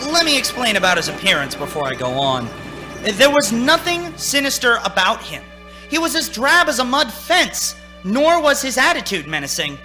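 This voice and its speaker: A man's voice: very high-pitched, very animated, moderate pace. An actor playing a haunted, anxious young man in a radio play, dramatic and nervously stammering. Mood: haunted, brooding. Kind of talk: radio play acting, narrating and in scenes. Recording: stage radio play with music.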